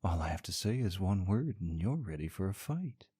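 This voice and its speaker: A man's voice: sits low, sing-song, moderate pace, warm tone, slightly rough. A young man performing a scripted audio roleplay as a sarcastic, teasing rival co-worker. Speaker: voice actor playing a smug rival co-worker.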